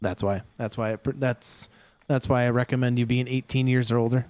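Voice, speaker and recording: A man's voice: mid-range voice, fairly even intonation, fairly quick, a little mellow, clean tone, no echo. A man hosting a casual gaming livestream, talking to his viewers in a rambling way with many fillers and restarts. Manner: chatty, talks straight to chat viewers.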